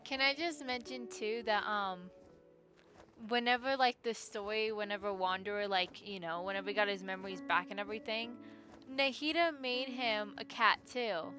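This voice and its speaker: A woman's voice: medium pitch, normal speed. A young adult woman chatting casually and reacting to a game on a livestream. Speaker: game streamer. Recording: game music faintly behind.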